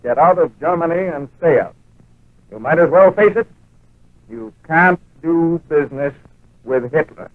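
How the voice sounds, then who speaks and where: Casting: firm, earnest embassy attaché and narrator, emphatic delivery, thin narrow-band 1940s radio sound. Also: male voice, on the high side, expressive, measured pace, pauses often, no echo. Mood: grave. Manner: authoritative, emphatic.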